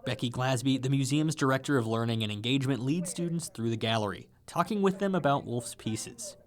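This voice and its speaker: A man's voice: mid-range voice, brisk. Male radio reporter narrating a feature. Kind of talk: scripted narration.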